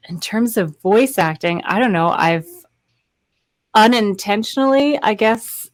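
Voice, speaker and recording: Low-pitched woman telling anecdotes casually. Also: no room sound. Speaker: voice actor, panel guest. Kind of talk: casual anecdotal panel talk. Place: indoor home recording space, video call.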